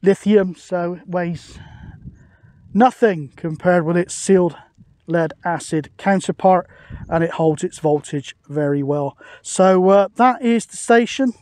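A man's voice: sits high, unhurried, a little mellow, dry recording. A British man chatting casually and matily outdoors, as a radio hobbyist making a video. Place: outdoors on an open hilltop.

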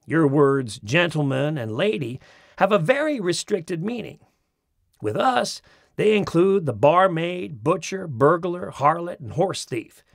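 Guy reading a funny essay, raspy voice, bouncy pitch, does little bits. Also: dry recording. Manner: mimics accents in quoted phrases, dry wit. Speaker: audiobook narrator. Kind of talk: expressive reading of a humorous essay. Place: studio. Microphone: close studio mic.

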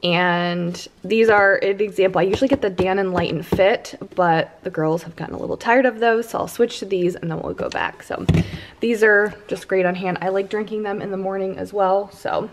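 American mom-age woman doing a casual home vlog, normal mid pitch, just chatting to viewers. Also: fairly even intonation, moderate pace, slight background noise. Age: 30s. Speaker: lifestyle vlogger. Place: inside a house.

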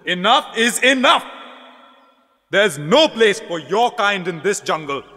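A stern rabbit commander with a high, bright, forceful voice. He lays down the law theatrically and with menace. The cartoon sound is drenched in reverb. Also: sing-song, slow, full character voice. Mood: angry, commanding. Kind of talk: animated cartoon character acting. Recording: long reverb, music behind.

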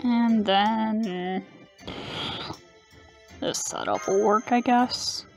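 A woman's voice: mid-range voice, steady tone, extremely slow, gravelly, soft background music. A woman giving casual gameplay commentary, talking half to herself as she plays. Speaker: game streamer.

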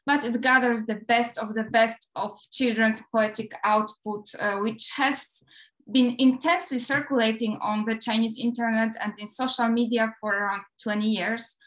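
A woman's voice: fairly high pitch, fairly bright tone, rough recording. Polish-accented woman lecturing on video call. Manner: hesitant, laughs lightly while talking. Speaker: guest lecturer.

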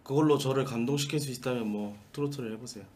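A young man streams from a small room at his desk, keeping a dry, mock-stern tone in stiffly formal speech as he teases a caller. His pitch sits in an ordinary male middle range. Faint keyboard typing and room hum sit under his voice. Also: quick pace, a little mellow. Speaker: live streamer host.

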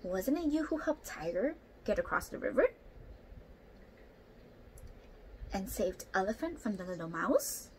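A woman's voice: mid-range voice, big pitch swings, normal speed, darkish tone, lightly acted. A young woman voicing a lonely, earnest storybook python who speaks firmly and confidently. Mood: firm, reassuring. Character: lonely, earnest python seeking a brave friend. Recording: noisy home room recording.

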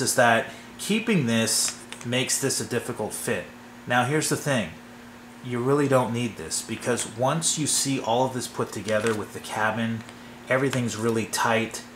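A man's voice: medium pitch, reverberant, a little hiss or hum. A man giving a casual hands-on tutorial at a workbench, rambling and often checking in with "okay?". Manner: relaxed, rambling, frequent "okay?" check-ins. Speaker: hobby model builder. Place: workbench in a home workshop.

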